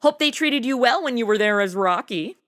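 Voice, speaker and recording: A woman's voice: on the high side, expressive, a little fast, fairly bright tone. An American woman hosting a podcast in a chatty interview style, with a sassy, slangy, playful way of talking. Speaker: podcast host. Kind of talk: chatty semi-scripted interview.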